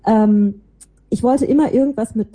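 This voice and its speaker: A woman with a warm, mellow voice that is a little husky talks casually as an interview guest. She is chatty and self-deprecating as she tells about herself. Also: a little high, normal speed, rough recording. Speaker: interview guest, podcaster and small creative agency owner. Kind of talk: casual chat, telling about own life and work.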